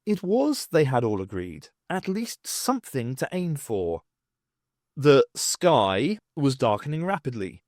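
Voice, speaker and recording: A man reading scripted science fiction narration in an expressive storytelling manner. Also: mid-range voice, a little slow, no echo, studio quality. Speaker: audiobook narrator.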